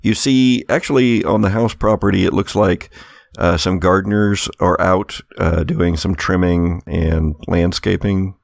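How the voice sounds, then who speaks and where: A low-pitched man with a creaky edge runs a tabletop roleplaying game. He takes his time, narrating in halting, thoughtful phrases at a slow pace. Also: steady tone, no room sound, clean studio sound. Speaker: game master.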